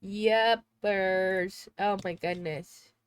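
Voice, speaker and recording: A woman's voice: medium pitch, fairly even intonation, drawn-out words, clear, resonant tone. Streamer, young woman, stuffy nose, rambly casual chat, desk mic at home. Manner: rambling, chatty, thinks aloud. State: congested, sneezing.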